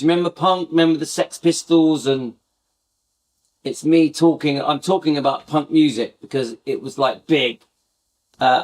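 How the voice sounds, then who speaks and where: A middle-aged man hosting a casual live fan chat, talking to viewers in a rambling, reminiscing way with many restarts. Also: a little high. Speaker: fan channel host.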